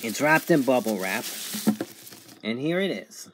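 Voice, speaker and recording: Slow-paced man narrating a product review. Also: mid-range voice, lively intonation, fairly bright tone, slight background noise. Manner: halting, methodical, in short phrases. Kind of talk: casual unboxing and review narration.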